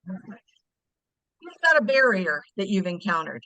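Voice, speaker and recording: A woman's voice: medium pitch, very animated, takes it slowly, pushed and bright, no echo, dull audio. A middle-aged woman facilitating a breakout discussion on a video call, asking encouraging, probing questions. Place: home living room.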